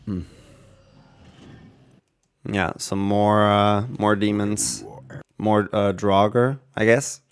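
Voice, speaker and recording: A man's voice: lowish voice, little pitch movement, drawn-out words, long pauses, clear, resonant tone. A man giving casual reaction commentary as a gaming video creator, rambling as he thinks aloud.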